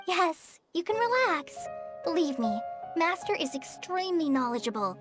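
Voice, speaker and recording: High-voiced, reassuring young apprentice girl. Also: sing-song, normal speed, music in the background. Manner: reassuring, earnest. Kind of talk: animated video game voice acting.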